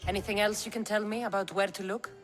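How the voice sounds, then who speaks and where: An actress playing a bold, determined ancient mercenary in a video game, speaking directly. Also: female voice, medium pitch, flat delivery, fast talker, faint music behind, lightly acted. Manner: direct, resolute.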